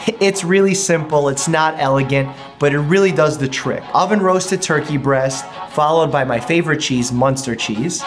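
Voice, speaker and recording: A man's voice: a little high, moderate pace, soft background music. A young man in his twenties, a doctor hosting a casual food vlog from his home kitchen, explaining health benefits in an upbeat, easy way. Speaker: doctor and channel host.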